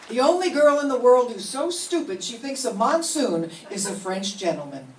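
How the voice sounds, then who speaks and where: A woman's voice: on the high side, animated, moderate pace, far from the mic, heavy background noise, close to an everyday voice. An older woman playing Jane, a wry, sensible young woman, in a staged 1940s radio comedy reading. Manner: dry, sarcastic. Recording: roomy hall, heard from afar.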